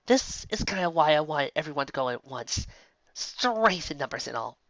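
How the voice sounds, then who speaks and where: A reader voicing a shy, gentle female pegasus pony in an audiobook reading, with a soft, hesitant manner. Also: medium pitch, very animated, normal speed, no room sound, dull audio. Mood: timid.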